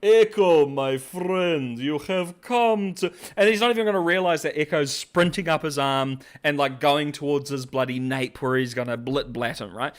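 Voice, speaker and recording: A young man hosting a casual livestream, rambling through animated commentary with frequent laughter and fillers. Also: fairly high pitch, moderate pace, hardly any pauses, clear, resonant tone. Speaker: host.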